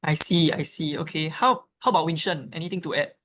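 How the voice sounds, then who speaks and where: This host runs a live webinar in an upbeat way, with lots of ums between points. The voice sits at a middling pitch. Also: fairly quick, fairly bright tone.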